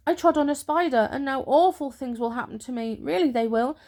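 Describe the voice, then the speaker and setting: A woman's voice: sits high, brisk, mellow. A woman voicing a silly, gullible little boy in a storybook, wailing and whining in a frightened, babyish way. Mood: panicked wailing.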